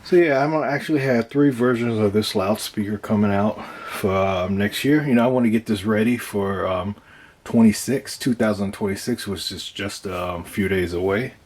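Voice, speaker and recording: A man giving a casual, hands-on demonstration of his own DIY project, talking in a halting, unscripted way as he thinks aloud, with some noise in the recording. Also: on the low side, darkish tone. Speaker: DIY speaker builder presenting own project.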